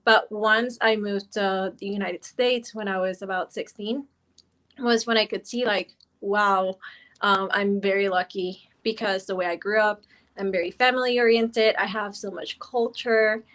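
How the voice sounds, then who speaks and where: A woman's voice: mid-range voice, fairly even intonation, measured pace, a bit bright. A woman panelist speaking earnestly and appreciatively in a casual panel talk.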